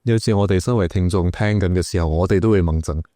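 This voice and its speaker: A man with a fairly low voice, recorded dry. He hosts a podcast interview in a casual, conversational way and is deferential toward his guest. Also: fast talker, mellow, no room sound.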